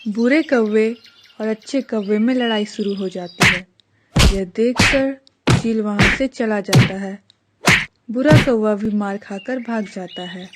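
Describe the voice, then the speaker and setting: A woman's voice: medium pitch, measured pace, very noisy. A woman reading a scripted children's story as the narrator.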